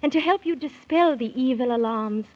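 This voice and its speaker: A woman's voice: sits high, moderate pace. A woman playing a regal, benevolent fairy queen who speaks in stately rhyme in a 1930s children's radio drama. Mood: benevolent, instructing. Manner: stately, incantatory rhymed delivery. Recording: dull 1930s radio recording.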